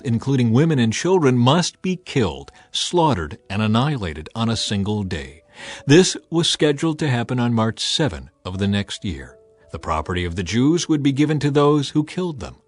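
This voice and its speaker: A man giving a dramatized scripted narration of scripture, with solemn, deliberate phrasing. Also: mid-range voice. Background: faint music.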